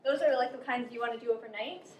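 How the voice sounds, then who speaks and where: High-voiced young woman teaching a recipe. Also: fast, highs cut off. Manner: relaxed, explains steps with lots of fillers. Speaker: guest cook. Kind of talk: casual cooking instruction. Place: outdoor cooking spot at a river park. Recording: echoey, faint noise.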